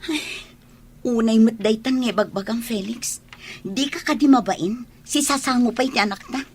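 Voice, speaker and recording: A woman acting a long-suffering, gentle wife and older sister in a radio drama. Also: a little high, moderate pace, slightly rough. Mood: pleading, hurt.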